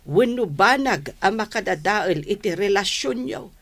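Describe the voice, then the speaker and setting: A stern, caring mother , played by an actress, in an Ilocano radio drama. She speaks slowly and deliberately at a medium pitch with an Ilocano Filipino accent, admonishing her grown daughter. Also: lively intonation. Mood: reproachful, concerned.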